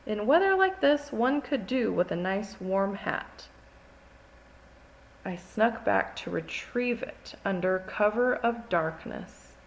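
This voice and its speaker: A haughty little furry forest creature, voiced by a woman, tells its side of a picture-book tale. It sounds high and highly animated, dwelling slowly and dramatically on each grievance. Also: poor audio. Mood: wistful, softening.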